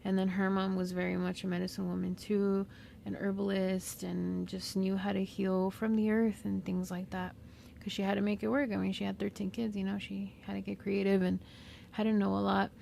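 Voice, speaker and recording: A woman with a Southern California accent whose first language is Spanish, talking unscripted and reflectively in a slow, pausing monologue as a podcast host. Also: medium pitch, little pitch movement, gravelly, warm tone, slight background noise. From Mexican-American. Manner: slow, reflective, with pauses and fillers.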